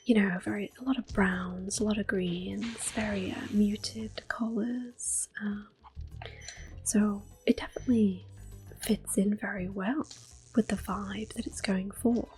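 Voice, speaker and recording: A woman speaking in a hushed, unhurried, gentle whisper as she gives ASMR game commentary. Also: medium pitch, steady tone, faint music behind, rough recording. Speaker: host of an ASMR gameplay series. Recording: clicking controller noise. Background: controller clicks.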